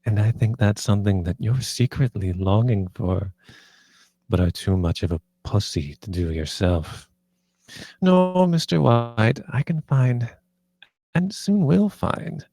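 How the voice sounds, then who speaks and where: Man acting as sadistic duchess villain, low creaky voice, drawn-out taunting, dry close recording, film script read. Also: lively intonation, warm tone, no room sound, exaggerated voice. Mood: mocking menace. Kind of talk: screenplay table read acting.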